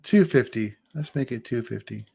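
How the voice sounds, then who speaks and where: A man in his forties chatting casually on a livestream as he walks through his engineering software, joking and restarting his sentences. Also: medium pitch, expressive, normal speed, vocal fry, husky. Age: 40s.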